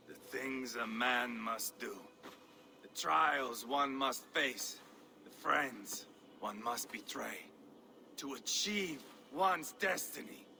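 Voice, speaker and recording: An actor playing an unstable, sadistic Viking warrior in a video game, gravelly and theatrical, savouring each phrase. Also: male voice, medium pitch, very slow, long pauses, pushed and bright, slight background noise, exaggerated voice. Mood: cold gloating. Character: unstable, sadistic, gleeful male Viking warrior hungry for glory. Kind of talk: video game cutscene acting.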